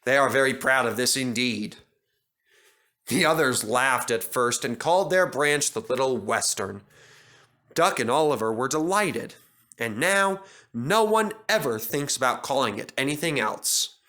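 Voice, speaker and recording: A man reading a children's story as narrator, in an expressive scripted style. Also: medium pitch, measured pace.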